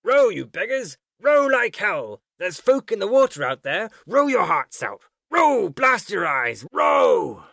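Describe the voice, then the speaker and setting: A man voicing a gruff, relentless boat chief in a storybook, bellowing urgent commands. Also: high voice, very animated, slow pace, few pauses, fairly bright tone, no room sound, clean studio sound, pitched above the performer's own voice, heavily acted. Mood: bellowing, fierce. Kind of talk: dramatic storybook character voice.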